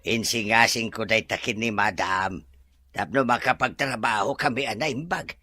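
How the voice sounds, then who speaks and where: A man's voice: lowish voice, moderate pace. A man playing an older, talkative resort worker in an Ilocano Filipino audio drama, obliging and teasing, full of interjections. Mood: chatty. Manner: animated, full of interjections and chuckles.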